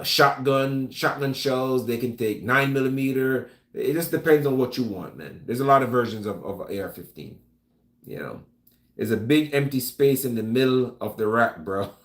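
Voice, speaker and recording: Jamaican man chatting in an echoey room. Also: mid-range voice. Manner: relaxed, stumbling restarts, calls people man. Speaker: vlog host reading live chat. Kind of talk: casual live-stream chat. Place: room at home with gun rack.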